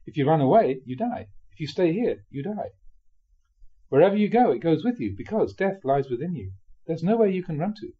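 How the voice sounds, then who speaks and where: A man reading a spiritual memoir aloud expressively, in a measured way with dramatic pauses. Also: fairly high pitch, big pitch swings, slightly rough, lo-fi sound. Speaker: reader of Buddhist teachings.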